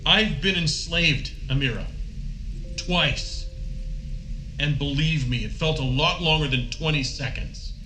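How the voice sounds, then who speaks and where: A man playing a patient space crewmate in a science fiction audio drama, speaking calmly, gently and informally. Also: fairly high pitch, unhurried. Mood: somber. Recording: roomy echo, music underneath.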